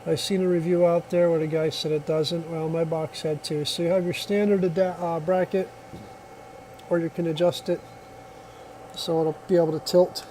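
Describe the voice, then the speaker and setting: A man's voice: on the high side, level tone, moderate pace, mellow, slight background noise, rough recording. A man giving a casual hands-on product demonstration, explaining step by step in a plainspoken way. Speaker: product reviewer.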